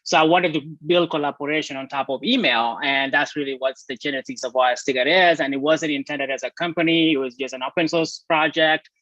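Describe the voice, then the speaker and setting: A man with a Kenyan accent, talking as a guest on a video call in a relaxed, storytelling way with frequent ums. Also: on the high side, brisk, hardly any pauses, no room sound. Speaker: guest, software company CEO. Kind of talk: casual live-stream conversation. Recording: dry, dull lo-fi call audio.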